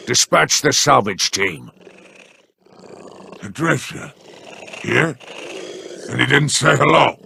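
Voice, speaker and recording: A gravelly alien crime boss. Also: male voice, bright, forceful tone, slight background noise, exaggerated voice. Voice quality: deep gravelly growl. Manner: slow, silky, mockingly polite. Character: smug, scheming male alien crime boss. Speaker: merchant boss. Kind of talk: video game voice lines.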